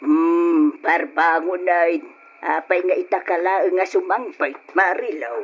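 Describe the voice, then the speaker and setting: A woman's voice: fairly low pitch, unhurried, breathy edge. An actress with an Ilocano Filipino accent playing a worried, scolding middle-aged mother in a radio drama. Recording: muffled, noisy old radio drama.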